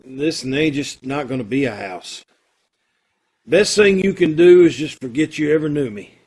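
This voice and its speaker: A man's voice: medium pitch, expressive, normal speed, a little mellow, breathy edge. A man playing a brooding, self-loathing drifter in an old time radio drama, with blunt, slangy tough-guy talk. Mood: uneasy, regretful.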